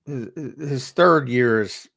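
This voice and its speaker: A man's voice: mid-range voice, takes it slowly, creaky, a bit bright, no echo. A man talking as a guest analyst on a sports show, hesitant with lots of fillers, over a remote call. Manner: hesitant, many fillers and restarts. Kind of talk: casual sports talk.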